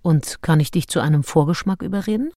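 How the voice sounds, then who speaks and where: An actress in a radio play voicing a frank, passionate nineteenth-century woman diarist, husky and confiding. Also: low-pitched, fast, mellow, no room sound, studio quality. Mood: coaxing. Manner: confiding, intimate. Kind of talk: radio play, intimate diary monologue.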